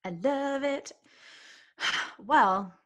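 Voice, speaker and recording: A woman's voice: high-pitched, extremely slow, a bit bright. A woman co-hosting a live podcast stream on a video call, playful and teasing. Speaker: podcast co-host. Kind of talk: live-stream casual chat.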